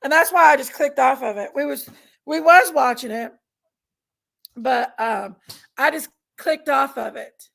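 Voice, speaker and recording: A woman with a Southern American accent, raspy and congested from allergies, chatting casually on a livestream and reading out viewers' names. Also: high-pitched, unhurried, fairly bright tone. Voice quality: raspy, gravelly. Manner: drawling, reads out chat names. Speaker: livestream host.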